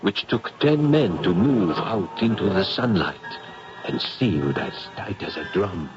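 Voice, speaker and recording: An actor playing a gray-haired French professor, an investigator's companion, florid and expressive in an old-time radio mystery. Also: male voice, deep voice, measured pace, over music. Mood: solemn. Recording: dull, noisy radio drama recording.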